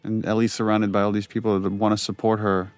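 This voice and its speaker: A man with a deep voice and a flat, steady melody. He talks through scenes as a director would, conversationally, pausing and rephrasing as he thinks. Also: no room sound, soft background music. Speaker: game director giving commentary. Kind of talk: director's commentary, casual conversational.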